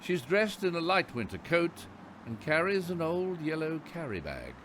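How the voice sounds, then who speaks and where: A man's voice: on the high side, very animated, normal speed, fairly bright tone, slight background noise. A man playing the narrator of a radio play, telling the story in scripted, descriptive prose. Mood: descriptive storytelling.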